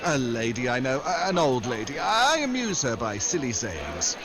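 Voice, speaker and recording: Man acting American secret agent, mid-pitched, animated intonation, smooth, wisecracking ladies' man, old-time radio drama. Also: moderate pace, heavy background noise. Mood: glib.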